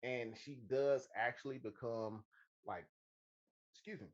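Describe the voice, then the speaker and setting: A man's voice: mid-range voice, a little slow, a crowd in the background. A man co-hosting a podcast in a casual, chatty style, recorded with dull, lo-fi sound. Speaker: podcast co-host. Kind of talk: casual chat.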